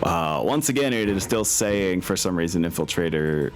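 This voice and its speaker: A very low-voiced man runs a tabletop game as game master. He talks casually and hesitantly, thinking aloud between bits of narration, with music playing behind him. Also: lively intonation, moderate pace.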